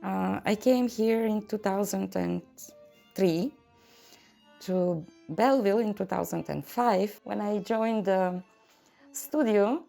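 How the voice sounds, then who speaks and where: Woman in her 60s, slow, gravelly, with faint music behind. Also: mid-range voice, dark tone. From Bulgaria. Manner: measured, hesitant, frequent "uh" pauses. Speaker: adult violin student. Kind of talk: documentary interview talk.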